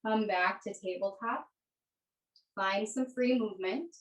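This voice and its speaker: A woman teaching a yoga class, giving gentle, unhurried guided instructions. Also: medium pitch. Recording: echoey room, distant microphone.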